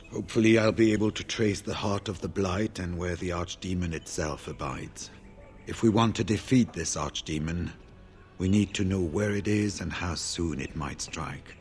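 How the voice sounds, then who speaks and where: An actor as a deep-voiced, weary veteran warrior. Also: male voice, lightly acted. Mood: grave, resolute. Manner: measured, solemn, formal phrasing. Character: weary, grave veteran warden warrior, older man. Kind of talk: video game character dialogue.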